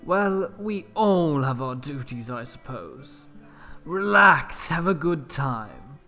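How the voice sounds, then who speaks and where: A man's voice: a little high, sing-song, slow pace, sharp tone, heavily acted. A man playing a suave, self-important wealthy businessman with a patronizing manner in an audio drama. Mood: suave, condescending. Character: smooth, self-important wealthy businessman at his party, condescending. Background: music with plucked guitar.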